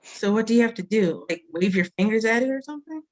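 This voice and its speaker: A woman's voice: fairly high pitch, lively intonation, fast, natural voice. A woman playing a blunt, curious young female friend in a drama script table read.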